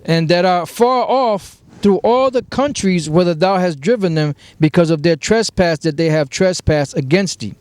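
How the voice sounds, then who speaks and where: High-voiced man reading scripture outdoors. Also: no room sound. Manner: reads verses slowly, then explains them. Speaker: street preacher reading scripture.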